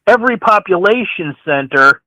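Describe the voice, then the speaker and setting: A man's voice: high-pitched, lively intonation, moderate pace, pushed and bright, dry recording, poor audio. A man from the American Midwest calling a political talk show by phone, giving casual, opinionated commentary. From St. Louis, United States. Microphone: phone call.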